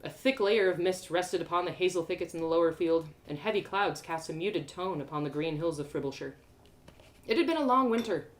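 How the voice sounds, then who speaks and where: A woman's voice: lowish voice, lively intonation, a little fast, low-quality recording. A woman storyteller narrating a first-person fantasy tale expressively. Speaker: storyteller, host.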